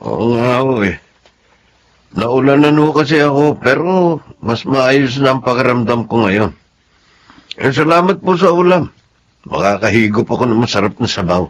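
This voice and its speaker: An actor playing a meek, patient Filipino husband and son in a radio drama, speaking in a mild, deferential, excusing way. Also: male voice, mid-range voice, lively intonation, moderate pace, pauses often, lightly acted. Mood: weary, grateful.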